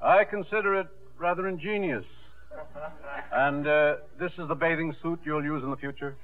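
Actor as measured judge, muffled old radio. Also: male voice, a little high, lightly acted. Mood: measured, dry. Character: measured, fair-minded courtroom judge, dryly amused. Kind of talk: 1950s radio drama acting.

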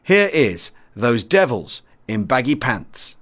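A male podcast host delivering a scripted introduction. His pitch is mid-range and moves around a lot, giving the lines an animated lift. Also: takes it slowly.

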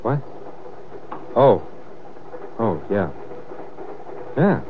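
A man's voice: medium pitch, big pitch swings, extremely slow, phone-quality sound. An actor playing an edgy, laconic tough man in a 1950s radio drama, terse and distracted. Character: edgy, laconic armed man, awkwardly interested. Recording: thin, noisy old radio recording.

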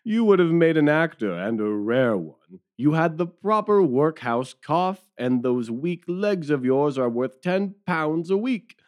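An actor playing a hearty, blustering police detective, bossy but agreeable, in an audio drama. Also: male voice, on the high side, animated, measured pace, hardly any pauses, a little mellow. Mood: amused, admiring.

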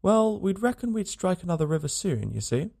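A man voicing a gaunt, dying frontiersman, parched and weary, speaking in rough frontier vernacular and gently to a child in a storybook reading. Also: high-pitched, very animated, fairly quick, pitched above the performer's own voice, full character voice. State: parched, dry-lipped.

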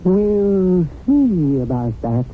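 A man's voice: sits high, big pitch swings, drawn-out words, slight background noise, heavily acted. A man playing an earnest, anxious agent of good who narrates his own tale in a radio drama. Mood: defiant. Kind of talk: radio drama acting and first-person narration. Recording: phone-like old radio recording, music underneath.

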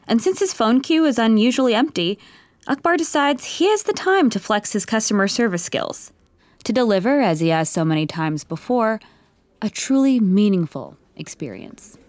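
A woman's voice: on the high side, very animated, moderate pace, darkish tone, no echo. A woman reading scripted narration for an audio comedy drama. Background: clear music.

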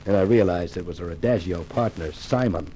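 An actor playing a world-weary newspaper columnist in a 1950s radio mystery, with dry, sardonic, hardboiled delivery. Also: male voice, sits low, brisk, a little hiss or hum, natural voice. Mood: wry narration. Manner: deadpan, sardonic wisecracking. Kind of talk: 1950s radio noir acting.

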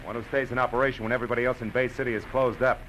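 An American actor playing a tough, wry private detective in a 1940s-style radio drama, with clipped, sardonic, slangy delivery. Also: male voice, mid-range voice, fairly even intonation, rapid-fire, fairly bright tone, close to an everyday voice. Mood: urgent, insistent. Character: tough, wry male private detective narrating his case. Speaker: radio actor, lead and narrator. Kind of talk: hard-boiled 1940s radio drama acting. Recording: noisy vintage radio recording.

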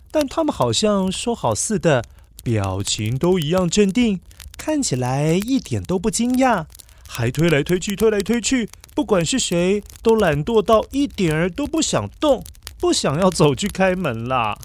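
A man narrating a children's story in a gentle, coaxing storyteller manner, addressing the young listener. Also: high voice, sing-song, a little slow, a little hiss or hum. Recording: background music under narration.

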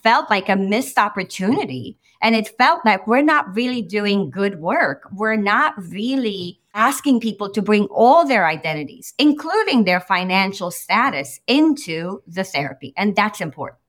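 A woman from Romania, a therapist in her forties, speaking as a guest on a podcast in an animated, emphatic way, often checking in with a quick "right?". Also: medium pitch. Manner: animated, emphatic, tosses in "right?" and quoted asides. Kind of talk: podcast interview.

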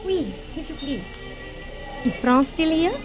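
An actress playing a clever, secretive spy in a 1940s radio drama, giving deliberate, clipped orders. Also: female voice, sits high, sing-song, full character voice. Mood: poised. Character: clever, secretive foreign female spy, commanding and guarded. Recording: dull old radio recording, faint music.

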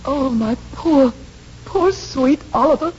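A woman's voice: high voice, takes it slowly, a little mellow. An actress playing a genteel, anxious middle-aged mother in a 1940s radio drama, polite, hesitant and emotional. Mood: distressed, tender. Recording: muffled, noisy 1940s radio recording.

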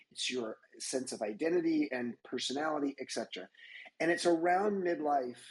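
A man in a casual conversation, calmly explaining ideas in a reflective way. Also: mid-range voice, low-quality recording.

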